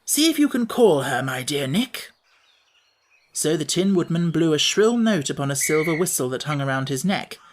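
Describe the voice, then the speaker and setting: A man's voice: on the high side, slight background noise. Man narrating a storybook. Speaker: audiobook narrator.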